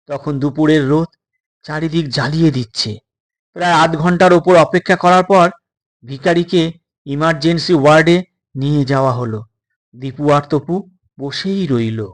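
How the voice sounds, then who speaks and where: A man narrating a suspense story in a dramatic, deliberate way, breaking sentences into short phrases with pauses. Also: a little high.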